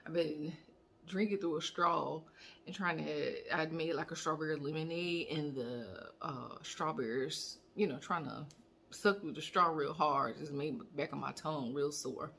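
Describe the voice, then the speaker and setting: A woman vlogger chatting casually to her viewers, rambling with fillers and restarts, her voice a little hoarse. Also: deep voice, moderate pace, a bit bright, slight background noise. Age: adult student. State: slightly hoarse. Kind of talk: casual personal vlog update.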